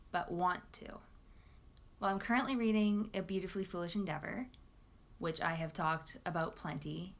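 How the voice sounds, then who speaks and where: A woman's voice: mid-range voice, a bit bright. A woman chatting casually and enthusiastically about books, like a vlogger talking to her viewers. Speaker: book vlogger.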